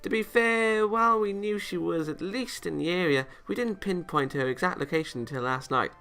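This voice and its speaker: A determined young angel girl, as acted by a man, speaks in a raised, bright voice. She is brisk, matter-of-fact and teasing, in visual novel style, with faint music underneath. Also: sits high, smooth, pitched above the performer's own voice. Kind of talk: visual novel character read-aloud. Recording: music behind the voice.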